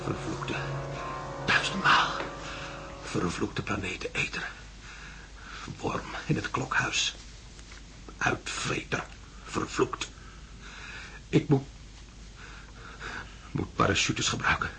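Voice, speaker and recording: An actor playing a desperate astronaut in a hypnotic delirium, muttering and cursing in a radio drama. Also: male voice, takes it slowly, pauses often. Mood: desperate, delirious. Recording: clear noise, vehicle-like rumble.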